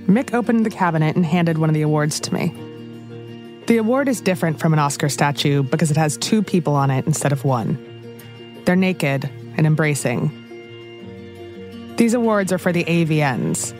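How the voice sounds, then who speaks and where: A woman hosting a podcast, calmly narrating a scripted story. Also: deep voice, moderate pace, long pauses, faint music behind. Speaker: podcast host.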